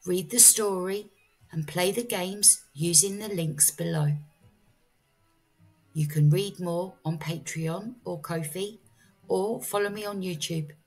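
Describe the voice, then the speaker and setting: A woman reading a children's story aloud as a storyteller, in a measured teacherly style. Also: lowish voice, a little mellow, slightly rough, faint music behind, rough recording.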